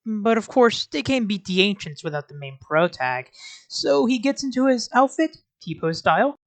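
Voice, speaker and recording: A woman's voice: medium pitch, sing-song, normal speed. A woman giving scripted video commentary as an anime reviewer, in an informal, sarcastic way.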